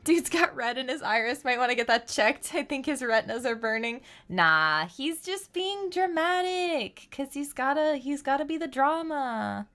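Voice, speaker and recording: A woman's voice: high voice, animated, normal speed, few pauses, a bit bright. A woman chatting casually on a live game stream, explaining things in a rambling, chatty way over game music.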